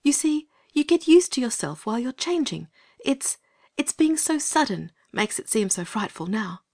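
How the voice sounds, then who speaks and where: A man's voice: very high voice, sing-song, normal speed, creaky, a little mellow, pitched above the performer's own voice, heavily acted. A man voicing a thoughtful, sensible and earnest young girl in an audiobook. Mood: hushed, thoughtful. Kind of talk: audiobook character voice.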